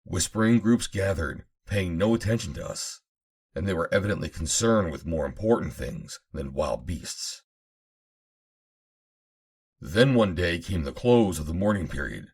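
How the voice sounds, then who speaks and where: Male narrator, very low pitch, husky tone, formal measured delivery, scripted adventure storytelling. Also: expressive, darkish tone. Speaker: audiobook narrator. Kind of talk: dramatic scripted narration of an old adventure novel.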